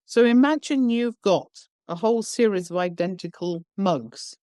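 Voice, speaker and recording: A woman's voice: mid-range voice, moderate pace, warm tone, no echo, studio quality. A woman guest on a podcast interview, explaining her views bluntly and emphatically with everyday examples. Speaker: education founder, guest.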